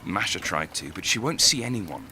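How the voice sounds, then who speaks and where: An actor in an audio drama playing a brooding, lovelorn young writer, speaking in a hesitant, reflective way. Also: male voice, sits low, sing-song, moderate pace, pushed and bright, a little hiss or hum, exaggerated voice. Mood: melancholy, wistful.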